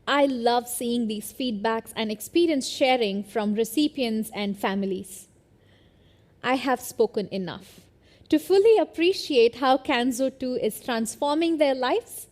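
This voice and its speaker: Higher-voiced woman presenting a product launch. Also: darkish tone. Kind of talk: scripted promotional presentation.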